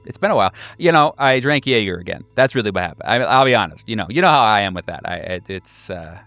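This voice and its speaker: A man's voice: on the low side, animated, quick pace, fairly bright tone, no room sound. A man voicing an eager, scatterbrained night-owl friend who rambles and stammers in a tabletop game. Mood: friendly, rambling.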